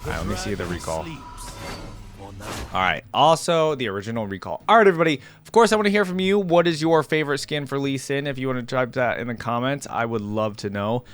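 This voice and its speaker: A man's voice: mid-range voice, animated, quick pace, clear, resonant tone, dry recording, soft background music. A man giving casual, informal reaction commentary, rambling along as he talks straight to his viewers. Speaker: reaction video host.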